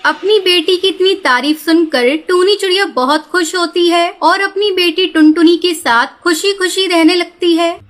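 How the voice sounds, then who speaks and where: A woman's voice: very high-pitched, normal speed, hardly any pauses, fairly bright tone, slight background noise. A woman narrating a scripted children's cartoon story.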